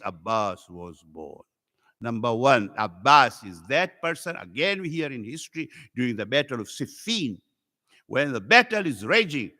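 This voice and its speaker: A man from Africa, whose first language is Swahili, delivers an earnest religious sermon to a congregation. His voice sits at a middle pitch and climbs high as his storytelling grows intense. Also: sharp tone. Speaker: visiting Islamic scholar.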